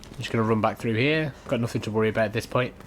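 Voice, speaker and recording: A man giving unhurried, instructional commentary over a video game walkthrough in a casual way. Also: on the low side, slight background noise. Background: video game ambience.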